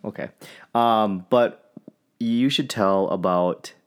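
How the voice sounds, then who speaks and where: A man's voice: lowish voice, takes it slowly, clear, resonant tone. A young man co-hosting a podcast, sniffly and laid-back, with a dry, teasing manner. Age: young adult. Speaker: podcast co-host. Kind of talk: casual podcast chat.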